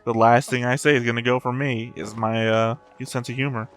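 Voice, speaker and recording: A male reaction host talks in a medium-pitched voice. He comments casually and thinks aloud with a wry, musing manner. Music can be heard behind him. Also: flat delivery, brisk.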